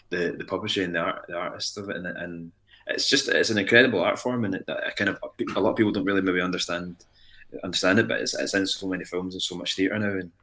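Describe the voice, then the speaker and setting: A young Scottish man, a musician guest in a casual interview, talking in an animated, rambling way with frequent restarts. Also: deep voice. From Scotland.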